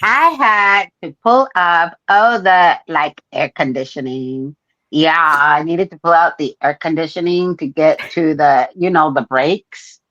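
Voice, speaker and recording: A woman's voice: deep voice, measured pace, fairly bright tone, highs cut off. A woman voicing a brash valley-girl Mexican woman who cusses, in a put-on Mexican accent and a drawling sing-song way. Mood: mocking. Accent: Mexican-accented English, put on.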